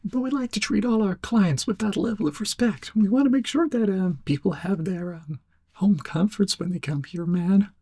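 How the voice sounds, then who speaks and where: Casting: male performer as goofy, timid young stoner detective for ASMR roleplay; high-set cracking drawl, mellow and close, dry sound. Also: moderate pace, husky, no room sound. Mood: earnest, hesitant. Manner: rambling, hesitant stoner drawl, tagging sentences with "man". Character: laid-back, cowardly, goofy young male amateur sleuth. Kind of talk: soft-spoken ASMR roleplay.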